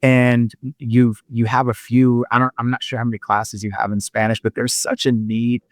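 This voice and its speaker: A man's voice: medium pitch, level tone, no echo, studio quality. A man hosting a podcast, chatting casually with a guest and stumbling through fillers and restarts. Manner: halting, full of fillers and restarts. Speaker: podcast host. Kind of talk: casual interview chat.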